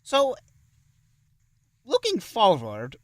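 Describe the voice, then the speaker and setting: A man's voice: sits high, huge pitch swings, extremely slow, no room sound, far from the mic, exaggerated voice. A man playing a genial, self-important thousand-year-old vampire who hosts an interview show in a theatrical, effusive manner. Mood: genial, playful. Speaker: show host. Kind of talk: in-character interview hosting.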